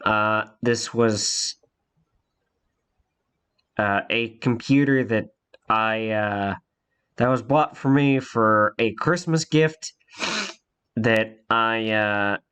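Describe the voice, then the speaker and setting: Congested young man, slow rambling story. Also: fairly low pitch, a bit bright, smooth. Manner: rambling, halting, many fillers and restarts. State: congested from a cold. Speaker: YouTuber telling a story. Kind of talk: casual storytime monologue.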